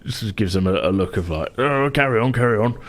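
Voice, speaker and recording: A man's voice: lowish voice, lively intonation, fast talker. A man improvising narration as a tabletop game master, hesitant and conversational, as if thinking aloud. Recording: music playing behind the voice. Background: music.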